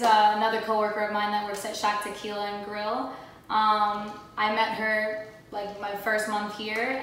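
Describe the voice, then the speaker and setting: A woman's voice: a little high, a bit bright, a little hiss or hum. A young woman hosting a casual podcast chat on a living room couch, talking loosely with lots of fillers. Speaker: podcast host. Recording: echoey room, far from mic.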